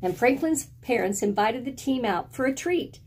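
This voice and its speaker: A middle-aged woman reading a picture book aloud in an expressive, deliberate storytelling style, indoors at home. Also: fairly high pitch, noisy background. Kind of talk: expressive children's read-aloud. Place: small indoor room at home with bookshelves.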